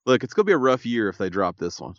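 A man, co-hosting a podcast in casual chat, a little stuffy and raspy, laid-back with dry humor. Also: mid-range voice, very animated, racing, no room sound, muffled recording. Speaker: podcast co-host.

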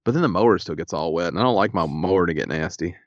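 A man, co-hosting a podcast in casual chat, a little stuffy and raspy, laid-back with dry humor. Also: low voice, animated, quick pace, no echo, highs cut off. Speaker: podcast co-host.

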